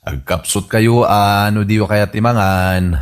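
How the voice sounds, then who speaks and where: A man's voice: fairly low pitch, steady tone, takes it slowly, clear, resonant tone. A man with an Ilocano accent playing an exasperated adult son in a comedy radio drama, questioning his old father incredulously. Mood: coaxing.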